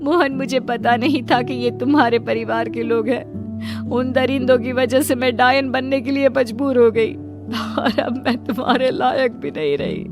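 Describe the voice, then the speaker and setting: A sorrowful young witch acted by a woman confesses tearfully in a raised pitch. The cartoon voice sits over loud music. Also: unhurried. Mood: sorrowful, regretful.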